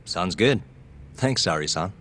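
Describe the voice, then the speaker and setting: A man's voice: sits low, expressive, a little slow, background noise. An actor playing an easygoing, streetwise male private detective in an informal way for a video game dub. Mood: relaxed, casual.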